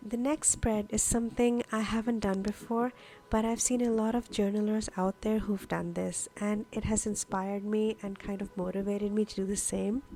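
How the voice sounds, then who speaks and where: A woman who grew up speaking Urdu narrates a craft process in a casual, explanatory way. Her medium-pitched voice has a noticeable gravelly creak. Music plays behind her voice-over. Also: flat delivery, moderate pace, mellow, slight background noise, low-quality recording. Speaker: journaling vlogger, host.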